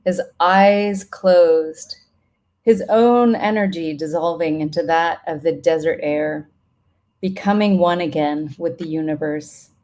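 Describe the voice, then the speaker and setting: A middle-aged woman reads a reflective personal letter aloud in a solemn, tender, measured way. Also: low voice, steady tone, darkish tone. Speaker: writer reading own letter aloud.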